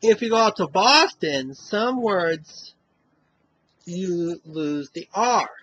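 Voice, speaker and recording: Slow husky man with Western Mass accent. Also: high-pitched, expressive, a bit bright, dull audio. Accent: Western Massachusetts. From Western Massachusetts. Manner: rambling, many "you know?" asides. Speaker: vlogger. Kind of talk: casual talk to camera.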